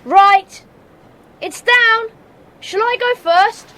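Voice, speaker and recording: A woman's voice: very high-pitched, very slow, bright, forceful tone, full character voice. A woman playing an eager, careful schoolboy gang member with clipped replies in a children's audiobook drama. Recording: echoing hall, faint noise.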